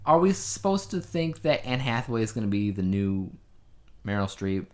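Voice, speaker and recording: A man's voice: lowish voice, very animated, moderate pace, a little mellow. A man co-hosting a podcast, chatting casually in a rambling, opinionated way about the news. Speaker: podcast co-host.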